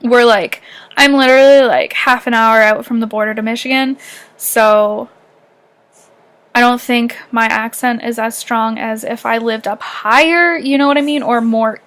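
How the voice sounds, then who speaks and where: Rambling young Canadian woman, slightly high. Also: fairly even intonation, moderate pace, a bit bright. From southern Ontario, Canada. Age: young adult. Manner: rambling, heavy use of fillers like "um" and "like". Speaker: vlogger. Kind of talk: casual personal vlog.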